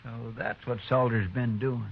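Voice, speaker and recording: An actor playing a folksy, deferential deputy sidekick with a rural American accent in an old-time radio Western. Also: male voice, medium pitch, fairly even intonation, moderate pace, gravelly, slightly rough. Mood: puzzled, easygoing. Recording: dull, hissy old radio recording.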